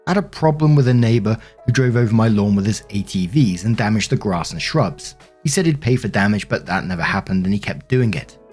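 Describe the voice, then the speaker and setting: Low-voiced British man reading stories. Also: lively intonation, brisk, hardly any pauses, darkish tone, faint music behind. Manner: informal, colloquial. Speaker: host narrating online stories. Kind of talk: reading stories aloud with casual personal commentary.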